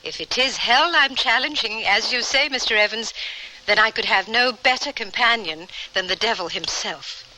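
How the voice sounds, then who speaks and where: A woman's voice: a little high, normal speed, noisy background, lightly acted. An actress playing a determined, proper Victorian lady traveller, formal and composed, in an old radio drama. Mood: defiant.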